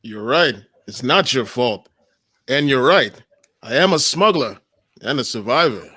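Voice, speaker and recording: A man's voice: medium pitch, animated, measured pace, bright, forceful tone, breathy edge, no echo, heavily acted. A man playing a suave, irritable smuggler and ex-hero in a comedic script read. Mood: irritated, dry. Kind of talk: comedic live table read of a film script.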